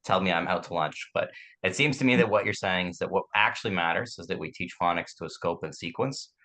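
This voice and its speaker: Low, hoarse man hosting a panel. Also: quick pace, sharp tone. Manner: summarizing and inviting correction. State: losing voice from a sore throat. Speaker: podcast host. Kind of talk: moderating a panel discussion.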